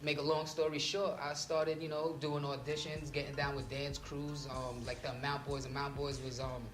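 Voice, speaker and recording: A man's voice: fairly high pitch, little pitch movement, normal speed, echoey room, music in the background. A man who grew up in Trinidad, chatting casually as a guest artist in an interview and filling his talk with "you know" and "um". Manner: laid-back, with many fillers.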